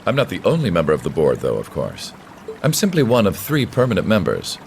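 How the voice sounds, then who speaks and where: Deep-voiced, composed nobleman. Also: wildly swooping pitch, creaky, darkish tone, a little hiss or hum, full character voice. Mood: courteous, smooth. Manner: polished, measured formal speech. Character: composed, authoritative young nobleman, courteous with quiet menace. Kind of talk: dramatic video game voice acting.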